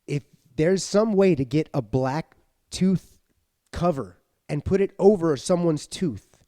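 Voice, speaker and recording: A man co-hosting a podcast in loose, animated chat, ranting and cursing as he gets worked up. His voice sits a little high but has a dark, mellow tone, and it sounds close and dry with no room echo. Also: slow pace. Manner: animated ranting, heavy swearing. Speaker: podcast co-host, father. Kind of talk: casual chat.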